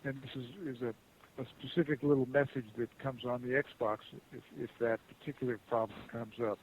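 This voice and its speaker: A man working as a customer service agent on the phone, speaking in a halting, rambling, conciliatory way. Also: mid-range voice, fairly even intonation. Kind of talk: unscripted phone support conversation. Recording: muffled phone call with hiss.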